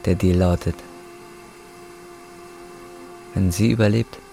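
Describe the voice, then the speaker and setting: A man's voice: low voice, steady tone, fairly quick, creaky, mellow, slight background noise. An actor in a radio drama playing a desperate, bitter drug courier in his twenties, coarse and weary. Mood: weary, resolute. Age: 20s. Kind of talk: radio drama acting and first-person narration.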